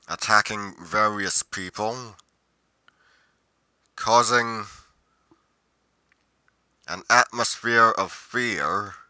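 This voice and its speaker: A man playing a brooding, solitary monster investigator in a roleplay monologue, musing deliberately with pauses mid-sentence. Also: on the low side, bright, forceful tone, no echo, studio quality, full character voice. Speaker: roleplay performer.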